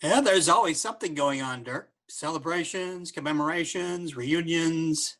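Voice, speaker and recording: Guy doing casual upbeat event planner pal for a comedy audio play, bright punchy voice, a bit high. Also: normal speed. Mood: breezy, wry. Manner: casual, energetic. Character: breezy, informal male event planner, detective's old pal. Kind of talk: comedy radio-play dialogue.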